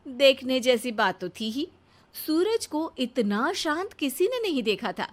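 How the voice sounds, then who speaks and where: A woman narrating a scripted comic story in a wry, expressive storyteller's manner. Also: sits high, a little slow.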